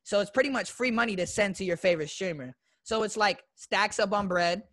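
A man's voice: high voice, brisk, a bit bright, no room sound. A young man from Florida, a guest YouTuber, talking in a loose, slangy way with lots of fillers. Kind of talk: casual interview chat.

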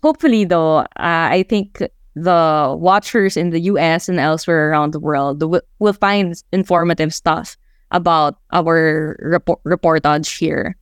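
A woman's voice: deep voice, measured pace, clean tone, dry recording, clean studio sound. A woman in her twenties with a Filipino accent, answering interview questions as a journalist in a reflective, conversational way, sometimes mixing Tagalog into English. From Philippines. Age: 20s.

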